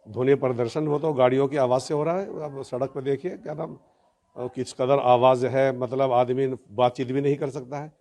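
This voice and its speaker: A man speaking unscripted in an interview, explaining his view in a halting, emphatic, wordy way. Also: medium pitch, mellow.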